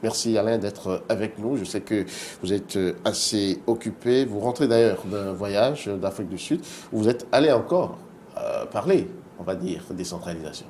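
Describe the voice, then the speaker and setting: Low-voiced Beninese man hosting an interview. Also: expressive, normal speed, darkish tone. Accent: Beninese French. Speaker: TV show host. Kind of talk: studio interview moderating. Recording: clear background noise.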